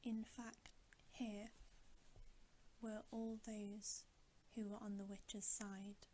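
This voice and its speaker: Woman, hushed ASMR whisper, very slow pace, even pitch, bedtime storybook reading, faint background noise. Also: rough recording. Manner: hushed, mostly whispering, gentle and deliberate. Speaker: ASMR reader.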